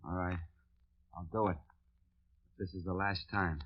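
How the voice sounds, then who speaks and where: A panicky, stammering saboteur. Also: male voice, deep voice, steady tone, unhurried, slight background noise. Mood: desperate. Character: frightened male saboteur, nervous and desperate. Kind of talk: 1940s radio acting. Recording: dull old radio recording.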